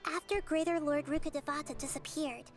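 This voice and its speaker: A woman acts out a young girl goddess from a video game, with a very high, soft, childlike voice. She speaks formally and gently, wise yet insecure, with music playing clearly behind her. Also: fairly even intonation, lightly acted. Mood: wistful. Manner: measured, formal, wistful. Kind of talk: scripted video game dialogue.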